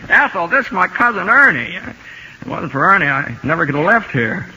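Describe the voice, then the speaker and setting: An actor playing an earnest, easygoing young country ballplayer in a radio drama, halting and folksy in his talk. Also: high-pitched, big pitch swings, pushed and bright, husky, full character voice. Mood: happy, bashful. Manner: halting, folksy, repeats himself. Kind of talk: 1950s radio drama acting. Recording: muffled 1950 radio broadcast, music behind.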